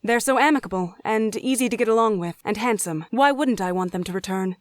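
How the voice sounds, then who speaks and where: A woman playing an eager, curious young druid in a fantasy audio drama, oblivious and quick to defend herself. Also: mid-range voice, dry recording, natural voice. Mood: eager, smitten.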